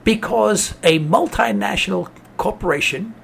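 Ranting New York man, gravelly. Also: high-pitched, unhurried, fairly bright tone, a little hiss or hum. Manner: cynical, ranting. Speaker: radio talk host. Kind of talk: political talk monologue.

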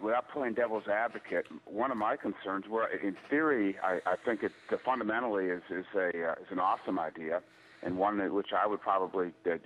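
A man calling in to a radio talk show, thoughtful and hesitant, with many fillers and restarts. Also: lowish voice. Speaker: radio caller. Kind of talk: call-in question on talk radio. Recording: tinny phone line.

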